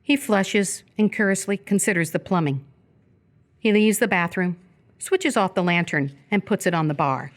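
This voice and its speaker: A woman reading scripted narration for live radio theatre in a measured, descriptive way. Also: medium pitch.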